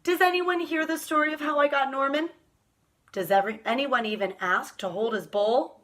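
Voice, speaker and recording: Woman reading as an earnest, excitable young boy. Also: sits high, normal speed, pitched above the performer's own voice. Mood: excited, indignant. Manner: expressive, childlike storybook telling.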